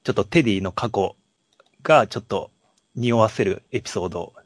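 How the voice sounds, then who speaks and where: A man co-hosting a podcast, talking casually about films in a halting, storytelling way. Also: fairly low pitch, dry recording. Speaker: podcast co-host. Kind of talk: casual film discussion.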